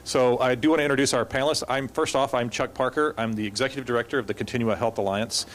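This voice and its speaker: A man moderating a conference panel, rushing through with many fillers and restarts. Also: mid-range voice, fairly even intonation, slight background noise, rough recording. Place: conference hall.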